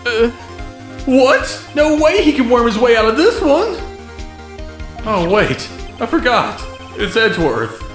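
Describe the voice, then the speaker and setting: A man playing an earnest, easily flustered young defense lawyer in an expressive game-fandub style. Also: extremely high pitch, takes it slowly, pauses often, heavily acted. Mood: rattled. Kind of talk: game fandub courtroom drama. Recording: music behind the voice.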